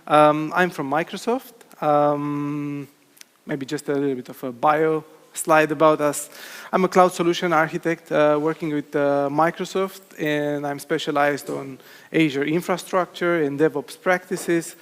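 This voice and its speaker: A man's voice: on the high side, fairly even intonation, unhurried, fairly bright tone, clear, resonant tone. A man from Romania, a cloud solution architect, giving a conference talk with frequent ums and uhs. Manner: hesitant, many filler sounds. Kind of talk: conference presentation. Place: conference hall with audience. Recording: long hall reverb.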